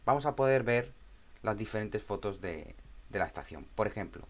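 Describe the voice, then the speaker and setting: A man's voice: fairly low pitch, lively intonation, moderate pace. A man with an accent from Spain narrating an informal technical tutorial, explaining step by step and often checking in with short tag questions. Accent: Spanish from Spain. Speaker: tutorial presenter.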